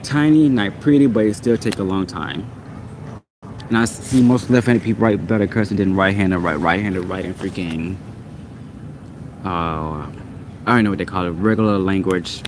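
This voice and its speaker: A man's voice: low-pitched, normal speed, noisy background. A man whose first language is Portuguese, ranting casually and with exasperation in a rambling, repetitive way. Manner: exasperated, rambling, repeats himself.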